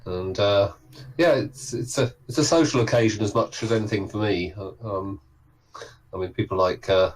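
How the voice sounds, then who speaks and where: Hoarse man chatting easily as a guest. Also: on the low side, normal speed, a little hiss or hum, poor audio. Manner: chatty and easygoing, recounting at length. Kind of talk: casual conversation.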